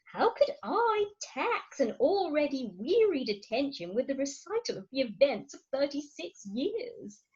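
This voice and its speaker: A woman's voice: high-pitched, very animated, a little slow, hardly any pauses, a little mellow. A woman acting a proud, coaxing middle-aged wife in a comic Victorian farce, theatrical and emphatic, on slightly dull online call audio. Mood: pleading, insistent. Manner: theatrical, emphatic, grandly articulate. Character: imperious, coaxing Victorian English wife, thirty-six, proud. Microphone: remote home recording over video call.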